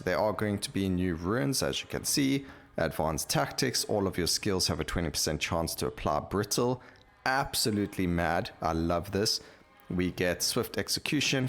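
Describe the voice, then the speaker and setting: Male gaming host, notably low voice, casual and enthusiastic explainer. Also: creaky, a bit bright. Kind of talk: casual gameplay commentary.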